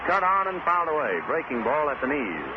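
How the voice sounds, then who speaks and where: A male baseball announcer from 1950s radio, his fairly high voice swinging animatedly as he calls the play in clipped phrases. He slips into conversational asides between calls. The old broadcast is dull and buried in loud noise. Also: very animated, brisk. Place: outdoor ballpark broadcast position.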